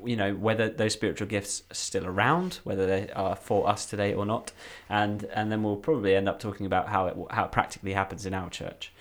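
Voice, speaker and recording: A man hosting a faith podcast in a relaxed, friendly chat with two guests. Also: lowish voice, a little fast, few pauses. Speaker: podcast host.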